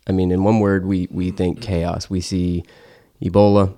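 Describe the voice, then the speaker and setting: Male host, deep voice, conversational interviewer who spaces out lists for drama. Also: level tone, normal speed, dark tone.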